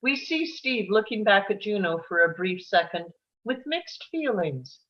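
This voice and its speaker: A woman's voice: medium pitch, very animated, unhurried, fairly bright tone, muffled recording. A woman reading stage directions as the narrator of a live screenplay table read.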